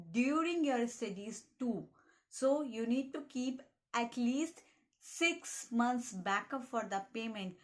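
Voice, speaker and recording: A woman with a Delhi Indian accent, a native Hindi speaker, talks to her viewers in a casual advice vlog. Her voice sits at a middle pitch, and she keeps urging them to plan and prepare. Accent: Indian English, Delhi. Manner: earnest and advising, repeats pleas to prepare. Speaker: vlogger giving study-abroad advice. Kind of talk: casual advice talk to camera.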